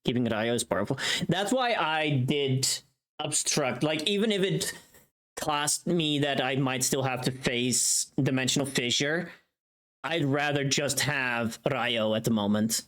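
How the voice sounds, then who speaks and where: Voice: mid-range voice, a little slow, fairly bright tone. A livestream host chatting casually while working, informal and sweary, often thinking aloud.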